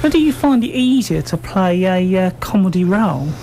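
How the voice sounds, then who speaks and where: A male radio host with a fairly high voice for a man. He puts his interview questions in a halting, hesitant way, with slight noise behind him. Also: animated, mellow.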